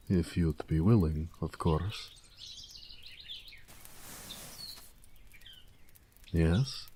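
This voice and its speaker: A man voicing a gentle, affectionate orc blacksmith in an intimate romantic audio roleplay, tender and musing. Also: deep voice, slight background noise. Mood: shy, hopeful. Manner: unhurried, tender, murmuring, musing.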